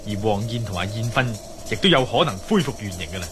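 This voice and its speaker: A man's voice: lowish voice, sing-song, fast talker, noisy background. A man with a Hong Kong accent playing a bold, courteous Chinese adventurer hero in a radio drama. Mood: resolute, instructing.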